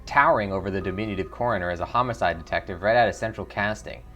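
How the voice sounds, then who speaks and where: A man's voice: on the low side, rapid-fire, bright, forceful tone, faint music behind. A man streaming a video game, switching between casual commentary and reading the narration aloud.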